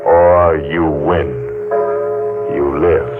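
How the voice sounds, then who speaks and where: An actor playing a vengeful, homicidal rich man who taunts his prey with deliberate menace in a 1940s radio thriller. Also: low voice, fairly even intonation. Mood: cold menace. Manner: deliberate, sinister, taunting delivery. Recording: muffled vintage 1940s radio recording, loud music.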